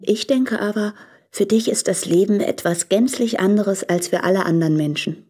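A frank, anguished married woman in a literary radio drama. Her voice is low and husky. She speaks earnestly and deliberately, as if confiding in the man she loves. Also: warm tone. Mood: reflective, explaining.

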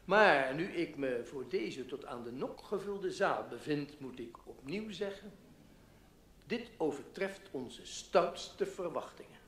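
An actor playing a pompous literary newspaper writer giving a florid, formal speech in a radio play. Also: male voice, a little high, lively intonation, a bit bright, a little hiss or hum. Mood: self-satisfied. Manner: pompous, florid, measured oratory. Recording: echoey hall, public address.

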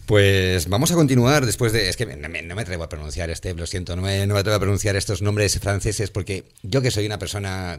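A man hosting a radio talk show, who jokes at his own expense, laughs and stumbles over his words. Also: deep voice. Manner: self-mocking, laughing, halting. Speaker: radio host. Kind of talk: casual radio chat.

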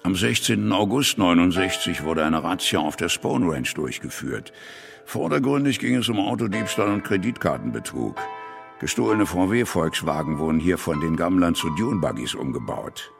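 Deep gravelly cop, dry official report, radio play with music under. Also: male voice, animated, normal speed. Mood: dry, factual. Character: dry, factual male police investigator reporting raids. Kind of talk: German docudrama radio play testimony.

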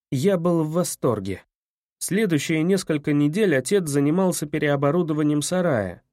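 A man narrating an audiobook in first person with a measured, even reading. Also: a little high, no room sound, clean studio sound.

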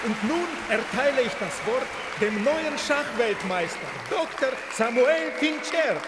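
High-pitched ceremony announcer over crowd. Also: male voice, lively intonation, a little slow. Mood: ceremonial. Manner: formal, projected. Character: formal announcer at a championship ceremony. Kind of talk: public announcement in a radio drama. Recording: echoing hall, loud crowd noise.

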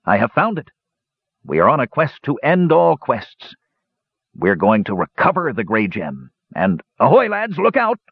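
Man voicing a blustering gruff dwarf captain, husky. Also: fairly low pitch, big pitch swings, a little slow, dry recording, highs cut off, full character voice. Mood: boastful, excited. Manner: hesitant mumbling bluster with salty sailor talk.

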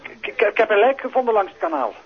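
A muffled radio play with a frightened man phoning the police. He stammers in a hurried, high voice. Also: lively intonation, slightly rough, full character voice. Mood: panicked. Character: flustered, frightened male caller reporting to police. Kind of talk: radio drama acting. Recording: dull lo-fi recording.

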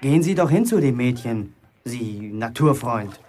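An actor playing a cheeky, boastful young bricklayer in a German radio play, talking colloquially and defiantly. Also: male voice, medium pitch, expressive, measured pace, darkish tone. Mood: defiant, mocking. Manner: colloquial, provocative, self-justifying.

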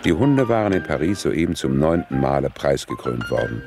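Extremely deep male narrator. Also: very animated, brisk. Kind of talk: scripted radio drama narration. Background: music.

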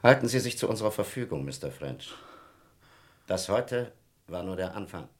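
A man's voice: deep voice, lively intonation, moderate pace, soft background music. A man playing a dry, insistent police captain in a German crime radio play, questioning people formally and pointedly.

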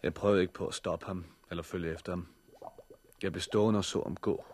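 An actor playing a calm, composed sighted man who also narrates, in a 1960s radio drama. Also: low-pitched, a little hiss or hum, natural voice. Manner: measured, quietly composed.

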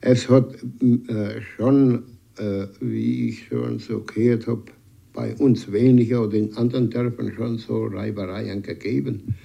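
A man recalling things haltingly in an informal interview. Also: fairly low pitch, fairly even intonation, vocal fry, dark tone, slightly rough, muffled recording. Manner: hesitant, halting, dialect-tinged. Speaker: villager being interviewed. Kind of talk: spontaneous interview recollection.

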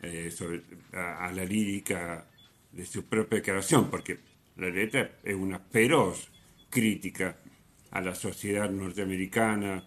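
Slow, halting man hosting radio. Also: low voice, fairly even intonation. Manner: halting, searching for words, with repetitions. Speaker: radio host. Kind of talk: reflective music commentary on a radio programme.

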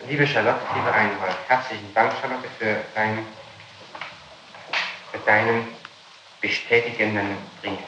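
A man questioning formally as an interrogator and reading documents aloud in a halting, stumbling way, on an old archival tape. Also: fairly low pitch, steady tone, roomy sound, background noise. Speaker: police or judicial interrogator.

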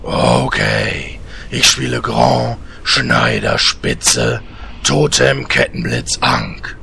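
An actor playing a gruff, pompous, threatening orc warlord in a parody fantasy audio drama. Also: male voice, mid-range voice, expressive, pushed and bright, slight background noise, exaggerated voice. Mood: competitive. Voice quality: gravelly. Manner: pompous, menacing, slow.

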